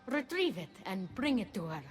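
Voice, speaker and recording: An actress playing a stern, commanding goddess-like mentor in a video game, measured and disdainful. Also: female voice, medium pitch, very animated, faint music behind, natural voice. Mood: solemn, instructing. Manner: imperious, measured, solemn. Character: stern, commanding divine female mentor, coolly disdainful of feelings. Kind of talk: video game cutscene acting.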